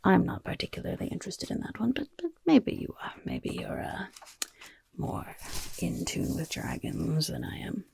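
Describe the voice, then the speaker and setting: A woman's voice: on the low side, huge pitch swings, moderate pace, a little mellow, exaggerated voice. A woman playing a chatty, roguish goblin guild member in a whispered ASMR fantasy roleplay, with casual, conspiratorial delivery and wry asides. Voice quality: breathy, whispery.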